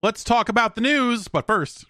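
A middle-aged man hosting a podcast, giving casual solo commentary on news in a rambling, halting way with repeated words. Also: very high-pitched, lively intonation. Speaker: podcast host.

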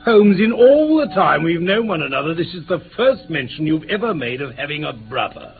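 A man with an English accent playing a genial, easily astonished gentleman companion in a radio play, polite and hearty. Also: on the high side, big pitch swings, moderate pace. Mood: amused surprise. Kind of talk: mid-century British radio play acting. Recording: tinny old radio recording, faint hiss.

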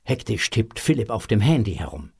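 A man's voice: fairly low pitch, big pitch swings, brisk, vocal fry, breathy edge. A man narrating a scripted audio story for children.

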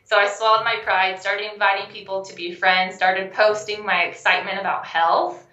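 Young health coach lady chatting, laughs a lot, bright voice, sounds kinda dull and muffled. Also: mid-range voice, normal speed, roomy sound. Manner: animated, laughs often, acts out quoted phone calls. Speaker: network marketing health coach, guest. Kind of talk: casual interview conversation.

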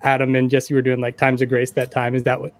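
A man's voice: mid-range voice, completely flat delivery, fast talker. A man hosting a casual music interview, asking questions in an informal, conversational way. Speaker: podcast host, interviewer.

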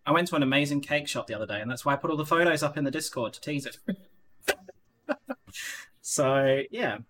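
A man's voice: on the high side, fairly quick, a bit bright, a little hiss or hum. A man hosting a casual online community podcast, rambling with lots of fillers and restarts. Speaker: podcast host.

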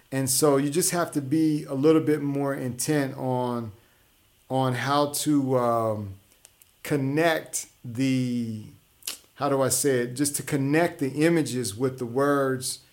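A man hosting his own show, talking through ideas in a casual monologue with frequent restarts and fillers. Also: medium pitch. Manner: conversational, explanatory, many restarts and fillers. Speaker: show host.

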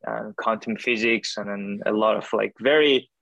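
A man's voice: on the low side, moderate pace, creaky, a bit bright, no room sound. A man, a doctoral student answering interview questions in a hesitant way, full of fillers and restarts. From France. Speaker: podcast guest, doctoral student.

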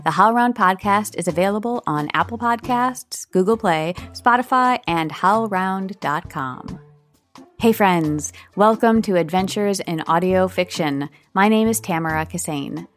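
A woman's voice: mid-range voice, measured pace, soft background music. A woman hosting a podcast, reading a scripted introduction and interviewing a guest.

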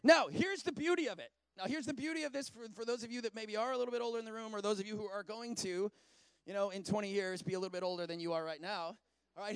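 A man in his forties preaches in a lively, chatty way, swinging from scripture reading into excited asides. His voice sits high and carries smooth, resonant. The sound is dry and close. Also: no room sound. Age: 40s. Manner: animated, conversational preaching with asides. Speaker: pastor. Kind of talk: sermon.

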